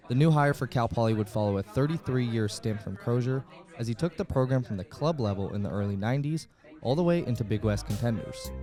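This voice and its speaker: A man co-hosting and narrating a sports documentary with a steady, read-aloud delivery. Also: mid-range voice. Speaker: podcast host and narrator.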